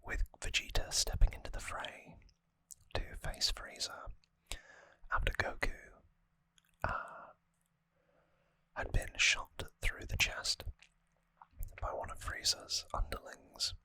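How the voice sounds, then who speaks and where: An adult ASMR host speaks entirely in a breathy, sharp-edged whisper. The delivery is very slow and gentle, rambling with hesitant pauses. It is captured close to the microphone in a dry space. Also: no echo.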